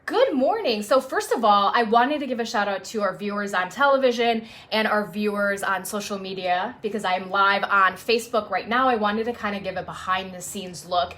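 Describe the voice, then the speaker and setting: A woman presenting traffic and news live from her apartment dining room on a phone, chatty off air and brisk on air, a little sniffly. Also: mid-range voice, a bit bright. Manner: chatty and self-deprecating off air, brisk and upbeat on air. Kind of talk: casual live stream chat and on-air traffic report.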